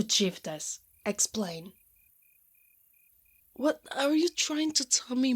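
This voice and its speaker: Brash, protective woman knight, acted by a woman. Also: on the high side. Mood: defiant. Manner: defiant and exasperated, slipping into flustered stammers. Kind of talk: ASMR roleplay acting.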